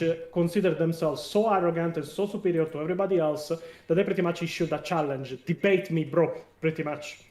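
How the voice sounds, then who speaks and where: A man with an Italian accent, a non-native English speaker, talking as a guest on a live stream in an animated way with many fillers. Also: a little high, reverberant, far from the mic. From Italy. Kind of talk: casual live stream discussion.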